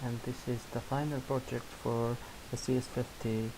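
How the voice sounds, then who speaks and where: A young man from Syria with an Arabic accent, a non-native English speaker, demonstrating his student project in halting speech full of restarts and fillers. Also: mid-range voice, flat delivery, noisy background. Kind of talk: screen-recorded software demo.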